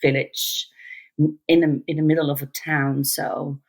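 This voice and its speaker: Low-voiced Dutch-speaking woman in her fifties. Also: little pitch movement. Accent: mixed English and American, non-native. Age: 50s. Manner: reflective, halting with fillers and restarts. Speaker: interview guest, musical theater actor. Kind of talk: casual conversational interview.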